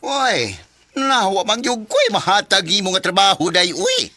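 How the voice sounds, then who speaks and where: Woman playing a bright, animated streetwise friend. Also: mid-range voice, measured pace, no echo. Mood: chatty, helpful. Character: chatty, streetwise female friend egging others on. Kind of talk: Filipino radio drama acting.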